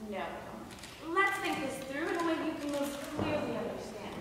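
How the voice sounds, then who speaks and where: A bossy, self-assured wife acted by a young woman on stage, high-voiced with lively swings. She sounds far from the mic in a big reverberant hall. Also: moderate pace. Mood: commanding. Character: bossy, self-assured wife who rules her husband. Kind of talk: high school stage comedy acting. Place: school theater stage, recorded from afar. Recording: noisy echoey hall, distant mic.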